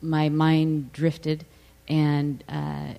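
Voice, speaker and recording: Gravelly, flat-voiced audience questioner. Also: mid-range voice, extremely slow. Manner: loud-voiced, hesitant, circling back. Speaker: audience member asking a question.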